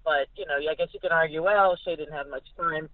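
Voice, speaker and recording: A woman's voice: deep voice, no room sound. Woman sportswriter on a tinny phone line. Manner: halting, repeating words. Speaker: sportswriter guest. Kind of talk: casual football analysis over the phone. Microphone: phone call.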